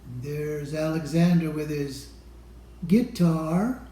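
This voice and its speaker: A man reading a children's picture book aloud in a deliberate manner, pausing between phrases. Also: a little high, mellow. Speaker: storybook reader. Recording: roomy, echoey room sound.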